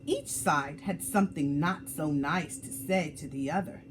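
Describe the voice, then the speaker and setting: A woman reading a picture book aloud as a children's storyteller, with dramatic, drawn-out emphasis for young listeners. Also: low-pitched, slight background noise, low-quality recording.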